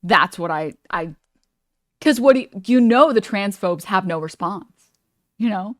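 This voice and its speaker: A woman's voice: mid-range voice, expressive, unhurried, dry recording, clean studio sound. A young woman streaming, chatting casually with lots of "like" and "you know" as she meanders through her thoughts. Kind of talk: casual reaction stream commentary.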